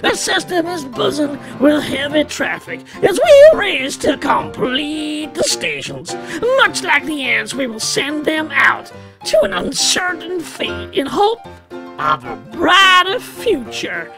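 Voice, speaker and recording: A woman reading a short story aloud in a dramatic, deliberate storytelling manner. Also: high-pitched, very animated. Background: music.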